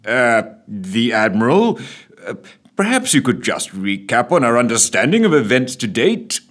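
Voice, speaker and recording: A man's voice: mid-range voice, big pitch swings, normal speed, fairly bright tone. A man voicing a pompous, exasperated male prime minister who blusters and stammers.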